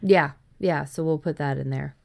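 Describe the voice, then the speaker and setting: A woman's voice: low-pitched, normal speed, gravelly, a little mellow. A woman hosting a podcast, explaining things in an informal, conversational way. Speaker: podcast host. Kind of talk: casual conversational podcast discussion.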